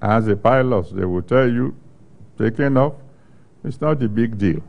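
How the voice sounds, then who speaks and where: An older male pastor reads scripture aloud and then preaches, taking his time over every phrase. His delivery is unhurried and measured, with long pauses between short phrases. His voice sits at an ordinary male pitch, and he speaks into a handheld microphone. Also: gravelly, muffled recording. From Nigeria. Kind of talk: sermon with scripture reading. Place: studio stage with a large screen behind.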